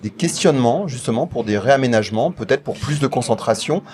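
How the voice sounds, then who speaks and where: A man's voice: mid-range voice, animated, fairly quick, darkish tone, a little hiss or hum. A man interviewing a guest off the cuff, feeling his way through long, roundabout questions. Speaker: radio show interviewer.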